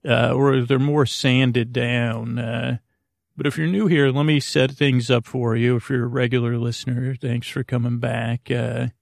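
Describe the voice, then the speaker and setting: Creaky-voiced man rambling in a monotone. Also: mid-range voice, unhurried, no room sound, clean studio sound. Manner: meandering, hesitant, with word fragments and sidetracks. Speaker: podcast host. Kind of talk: rambling, informal bedtime podcast intro.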